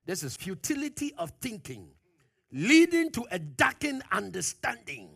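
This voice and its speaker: Emphatic male preacher, bright and lively. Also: normal speed, gravelly, slightly rough, no echo. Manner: emphatic preaching, questions to the congregation; swings from loud exclamations to low, quiet phrase endings. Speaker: pastor. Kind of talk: sermon.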